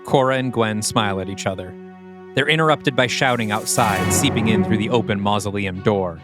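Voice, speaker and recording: A man's voice: lowish voice, a little fast. A man reading scripted stage directions as the narrator of a dramatic audio play, with music behind him.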